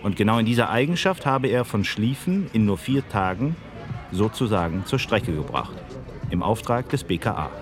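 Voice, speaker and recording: A man narrating a documentary in measured first-person storytelling as an investigative journalist. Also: lowish voice, expressive. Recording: music underneath.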